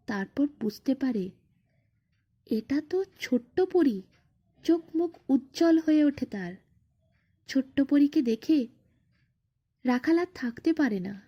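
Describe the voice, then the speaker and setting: A woman narrating a bedtime fairy tale expressively, in short phrases with drawn-out dramatic pauses. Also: high-pitched, highs cut off. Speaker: storyteller.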